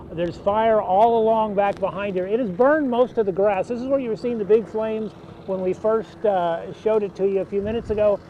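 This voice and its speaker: A man reporting live outdoors as a photojournalist, unscripted and hesitant, with a stop-and-start delivery. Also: high voice. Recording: dull, noisy outdoor live feed.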